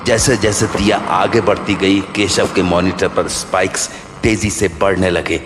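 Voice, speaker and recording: A man's voice: low-pitched, flat delivery, moderate pace, noisy background. A man giving dramatic scripted narration of a horror story. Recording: long reverb under prominent music.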